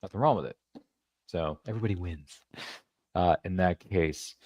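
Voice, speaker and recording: A lower-voiced man hosting a podcast, talking in a chatty, rambling way and tossing in jokey asides. The sound is dry and close, with no room in it. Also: normal speed, no echo, lo-fi sound. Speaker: podcast host. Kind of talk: casual interview chat.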